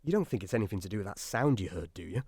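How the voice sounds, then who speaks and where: An actor playing an anxious, self-doubting, sardonic submarine doctor in a radio drama. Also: male voice, on the low side, animated, racing, vocal fry, a little mellow, husky, dry recording, studio quality, heavily acted. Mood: wry, uneasy. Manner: nervy, sardonic, brooding. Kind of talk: radio drama acting with diary-style monologue.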